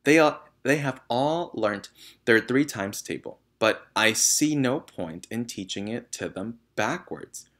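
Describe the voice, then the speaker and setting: A man's voice: medium pitch, animated, fairly bright tone, pitched above the performer's own voice. Man reading as a polite, firm schoolteacher woman. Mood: firm protest. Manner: polite, measured, earnest. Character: gentle but firm young female schoolteacher.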